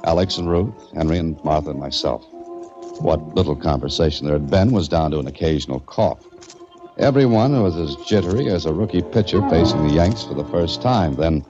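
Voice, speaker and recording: An American man playing a calm, inquisitive adventurer, narrating a mystery in measured 1940s radio style. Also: a bass voice. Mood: tense narrating. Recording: muffled old-time radio recording, music behind.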